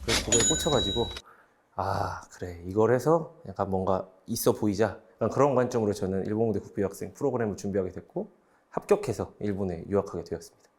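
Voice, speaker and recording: A man's voice: on the low side, fast. A South Korean man in his thirties casually recounting his experiences among colleagues. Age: 30s. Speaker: channel member sharing study-abroad experience. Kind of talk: casual group chat, storytelling.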